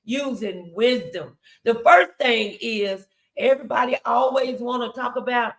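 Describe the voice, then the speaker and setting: A woman's voice: on the high side, measured pace. A woman hosting and preaching, talking to her viewers in an emphatic, exhortatory motivational style. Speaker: show host and preacher. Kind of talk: motivational faith talk on a livestream.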